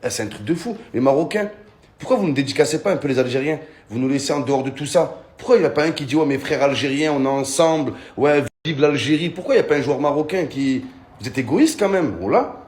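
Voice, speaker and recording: Brief: male comedian, fairly high voice with big swings in pitch, mocking rant style. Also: fast talker. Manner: animated, mocking, swings to shouts. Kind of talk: casual comic rant to phone camera.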